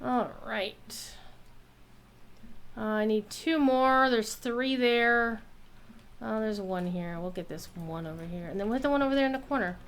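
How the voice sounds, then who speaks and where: A woman giving casual live commentary while streaming a game, playful and expressive, with some salty language. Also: a little high, a little slow, a little hiss or hum.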